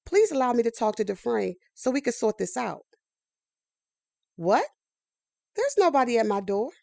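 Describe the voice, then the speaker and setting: An indignant, driven woman in a sci-fi audio drama. Her high voice swings widely as she makes clipped, insistent demands, with some creak at the edges. She is easily exasperated. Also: big pitch swings, normal speed, a little mellow, no echo. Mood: anxious, hopeful.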